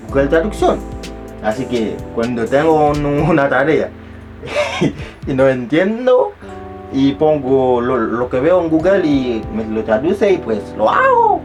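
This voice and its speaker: A young man speaking Spanish as a second language, telling a casual story with rambling restarts and self-mocking asides. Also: a little high. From abroad, not Chile. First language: not Spanish. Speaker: vlogger.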